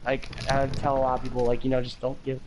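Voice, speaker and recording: A teenage man chatting on a livestream, with a higher-pitched voice, an occasional lisp and many "like"s and curses. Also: fast talker, slight background noise, low-quality recording. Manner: talkative, rambling with many "like"s, swearing, animated laughs. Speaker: livestream host. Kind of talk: casual chat on a game livestream.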